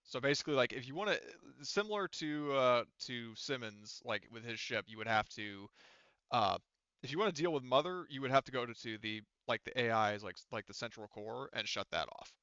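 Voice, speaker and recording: A man's voice: medium pitch, animated, brisk, pushed and bright, clear, resonant tone, no echo, lo-fi sound. A man casually running a tabletop game as game master, rambling and swearing freely.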